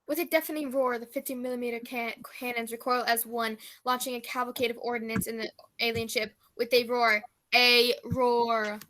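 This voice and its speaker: Female war correspondent played by an actress: bright, ringing, high voice, pompous and dramatic old-radio reporting. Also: level tone, normal speed. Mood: rousing, grandiose. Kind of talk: old-time radio news report parody.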